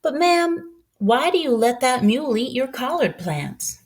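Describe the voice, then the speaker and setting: A woman's voice: high-pitched, sing-song, unhurried, voice pushed up, full character voice. A woman voicing a curious, polite young boy in a storybook, asking questions earnestly and a little hesitantly. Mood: puzzled, polite.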